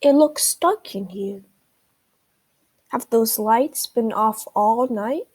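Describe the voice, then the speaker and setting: A woman's voice: fairly high pitch, slow, a little mellow, slightly rough. A woman voicing a confident, inquisitive male duck detective in a children's storybook. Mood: deducing, confident. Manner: inquisitive, explanatory, exclaiming.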